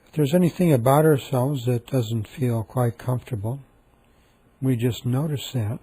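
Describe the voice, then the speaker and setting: A man leading a guided meditation, speaking calmly and softly with long, repetitive pauses. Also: medium pitch, creaky, slightly rough.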